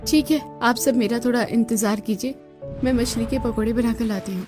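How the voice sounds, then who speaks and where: A woman voicing a young daughter-in-law in a Hindi cartoon story, outwardly dutiful and polite but quietly sly. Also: fairly high pitch, fairly even intonation, normal speed, over music, close to an everyday voice. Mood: feigned innocence.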